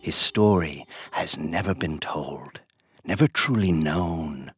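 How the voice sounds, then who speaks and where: Very deep, slow male horror announcer. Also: a little hiss or hum. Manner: portentous, theatrical. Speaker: trailer announcer. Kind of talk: dramatic scripted horror promo narration.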